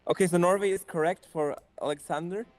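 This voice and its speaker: A young man from Turkey with a Turkish accent, a guest chatting on a coding stream, hesitant with restarts and soft trailing phrases. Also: high-pitched, fairly even intonation, quick pace. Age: young adult. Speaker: guest co-developer. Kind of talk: casual chat on a coding stream. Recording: faint music behind.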